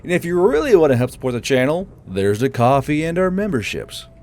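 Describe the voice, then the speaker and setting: A man's voice: a little high, sing-song, a little fast, a little mellow. A man narrating a fantasy audiobook as the channel's host, in an informal storytelling style. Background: music.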